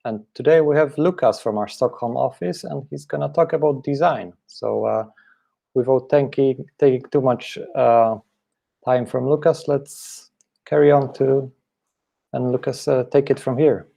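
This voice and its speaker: A male host opens a weekly live stream in an informal, easygoing way. His voice is gravelly with a dark, mellow tone. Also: medium pitch, unhurried.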